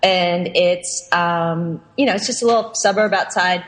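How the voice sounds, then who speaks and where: A woman's voice: lowish voice, measured pace. A woman from Pennsylvania speaking as a podcast guest in casual chat, chatty and often restarting her sentences with easy laughs. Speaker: podcast guest, workshop founder. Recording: long reverb on voice.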